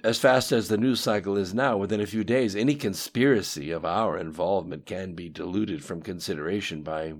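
A man voicing a cold, composed conspirator in an audiobook, deferential yet unafraid as he addresses his dark master. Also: deep voice, brisk, few pauses. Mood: businesslike. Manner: cool, deferential yet unafraid, matter-of-fact. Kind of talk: audiobook dialogue acting.

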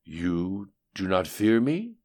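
A man's voice: low voice, very animated, slow pace, a little mellow, exaggerated voice. A man voicing a menacing, disembodied supernatural tyrant in an audiobook, with a commanding, scolding manner. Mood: angry, domineering. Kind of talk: audiobook dialogue acting.

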